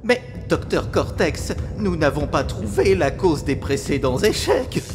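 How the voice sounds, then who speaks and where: Worried villain's assistant, slow and swoopy, big echo, cartoon game dub. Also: male voice, fairly high pitch, animated. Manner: worried, hesitant. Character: anxious, subservient assistant to a mad scientist. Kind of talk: French cartoon-game fandub. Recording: long cavernous reverb over music.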